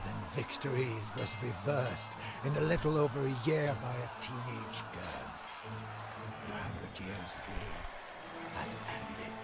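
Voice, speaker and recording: A man playing a battle-weary French soldier who narrates a video game's storybook journal in a solemn, theatrical, measured way. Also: mid-range voice, gravelly. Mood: stirring resolve. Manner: solemn, theatrical, measured delivery with hushed phrase endings.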